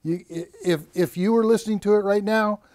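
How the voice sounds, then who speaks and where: An older man with a fairly high, soft-edged voice pitches a product. He talks off the cuff in plain, colloquial words, like a practiced salesman. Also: steady tone, fairly quick. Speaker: co-presenter of a home theater system. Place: padded, treated listening room indoors.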